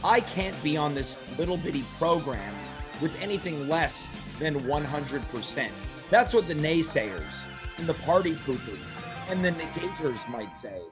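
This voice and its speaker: Hoarse man hosting with theatrical flair. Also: a little high, expressive, moderate pace, music in the background. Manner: theatrical, playfully boastful. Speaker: host. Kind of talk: comedic show banter. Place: home studio.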